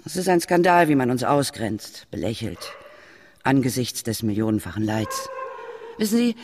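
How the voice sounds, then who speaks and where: An actress playing a passionate radical animal-rights activist of about sixty in a radio drama, gravelly and emphatic. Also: female voice, very deep voice, very animated, moderate pace, a little mellow, slight background noise. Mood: indignant, preaching. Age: 60s. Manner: emphatic, indignant.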